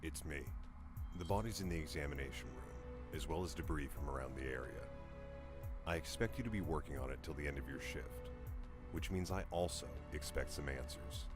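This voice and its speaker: Very deep, gruff detective boss. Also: male voice, fairly quick. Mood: stern, impatient. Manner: curt, demanding. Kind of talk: visual novel voice acting. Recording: music playing behind voice.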